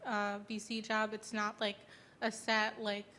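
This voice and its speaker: A woman's voice: medium pitch, monotone, measured pace, bright, forceful tone. A woman in a workshop audience asking inquisitive follow-up questions. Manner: inquisitive, asks follow-ups.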